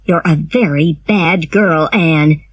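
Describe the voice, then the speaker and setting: A woman voicing a strict older woman guardian, speaking lower and firmly. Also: takes it slowly, breathy edge, far from the mic, full character voice. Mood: angry. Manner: low and firm, clipped.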